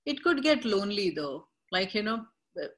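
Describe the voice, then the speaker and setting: A woman hosting an interview, speaking in a thoughtful, halting way with fillers. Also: medium pitch, sing-song, a bit bright. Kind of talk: conversational interview.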